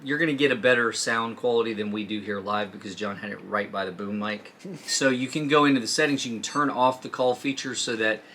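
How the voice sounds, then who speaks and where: A man's voice: fairly low pitch, brisk, a bit bright, slight background noise. A man hosting a casual, joking product demo, informal and teasing as he explains the features. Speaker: host of a product review show. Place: studio.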